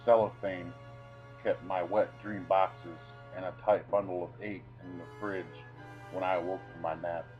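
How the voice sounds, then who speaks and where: Low-voiced man, theatrical and deliberate. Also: little pitch movement. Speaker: video creator reading own short stories. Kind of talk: dramatic reading of a comic short story. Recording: dull lo-fi sound with music behind.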